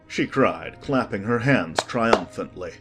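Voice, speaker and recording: A man's voice: on the low side, expressive, slow pace, music in the background. A man reading a classic storybook as an audiobook narrator, in a scripted storytelling style.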